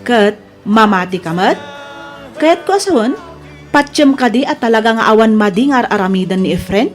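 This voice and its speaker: A woman's voice: fairly high pitch, expressive, normal speed, faint music behind, close to an everyday voice. A woman acting an inquisitive, teasing friend in an Ilocano audio drama, with a Filipino accent. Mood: curious, probing.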